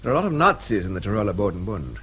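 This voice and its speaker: An actor playing a brash, witty, hard-drinking English agent in a radio drama, flippant and breezy, with an English accent. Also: male voice, fairly low pitch, sing-song, rapid-fire, fairly bright tone, husky, exaggerated voice. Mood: wry, explaining.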